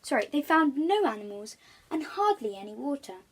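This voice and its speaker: A woman's voice: high voice, sing-song, moderate pace. A woman reading a children's storybook aloud, expressively, stressing key words.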